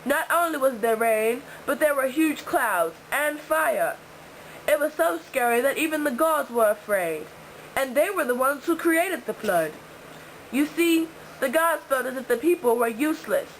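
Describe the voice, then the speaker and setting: A woman's voice: high-pitched, animated, measured pace, slight background noise, poor audio. A teenage girl narrating a school puppet show playfully, then interviewing classmates. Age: teens. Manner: playful, giggly.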